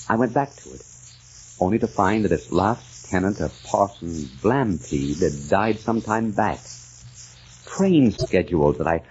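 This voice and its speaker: Deep, husky-voiced haunted gentleman. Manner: halting, earnest, brooding, with dramatic pauses. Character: haunted amnesiac gentleman and war veteran recalling his lost past. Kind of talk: old-time radio drama narration. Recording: muffled lo-fi vintage radio recording.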